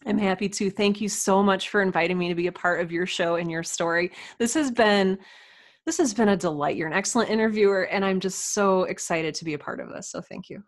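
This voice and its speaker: A woman's voice: medium pitch, brisk. A woman chatting casually and enthusiastically as a guest in an informal interview. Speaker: podcast guest, writer and audio drama producer.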